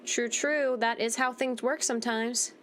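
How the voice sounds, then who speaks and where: A woman's voice: on the high side, fairly even intonation, a little slow, a bit bright, a little hiss or hum. A woman hosting a gaming video, giving informal playthrough commentary, reading out text and reacting aloud.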